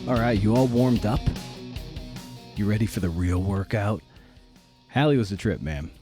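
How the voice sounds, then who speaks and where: A man's voice: fairly low pitch, expressive, moderate pace, over music. A man hosting a podcast in a casual, conversational style with informal, colloquial phrasing. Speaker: podcast host.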